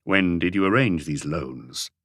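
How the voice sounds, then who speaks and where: A man voicing a calm, terse police inspector who questions in a measured, probing way for an audiobook. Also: low voice, no echo, clean studio sound, voice pushed down.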